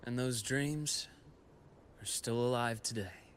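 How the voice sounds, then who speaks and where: An actor playing a composed, knowledgeable expedition guide and scholar in a video game, telling history in a measured, reflective way. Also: male voice, medium pitch, slight background noise, close to an everyday voice. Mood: earnest. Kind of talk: scripted video game story dialogue.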